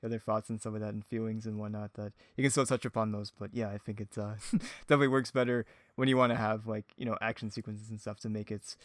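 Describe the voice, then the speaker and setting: A man's voice: fairly low pitch, level tone, quick pace, few pauses, no room sound, clean studio sound. A man in a casual podcast chat, talking in a hesitant, rambling way with many filler words. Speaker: audio drama creator, podcast speaker.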